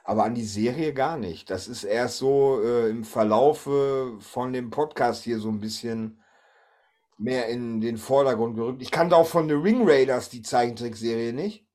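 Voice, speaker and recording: A man's voice: medium pitch, normal speed, dark tone. A man hosting a podcast in casual chat. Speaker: podcast host.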